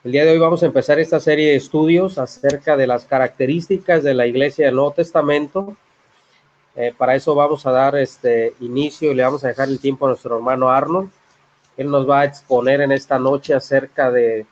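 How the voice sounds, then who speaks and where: A man with a Michoacán accent opens a live-streamed Bible study with polite greetings. He often pauses on "este" while finding his words. His mid-pitched voice comes through dull and lo-fi online audio. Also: darkish tone. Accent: Mexican Spanish, Michoacán. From Mexico. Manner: polite, hesitant, many "este" fillers.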